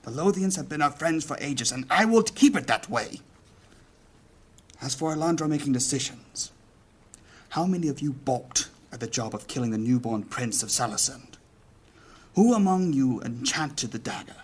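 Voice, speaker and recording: A man playing a firm, weighty leader of a mage guild in a fantasy audio drama, formal and deliberate. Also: mid-range voice, lively intonation, slightly rough. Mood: stern, rebuking.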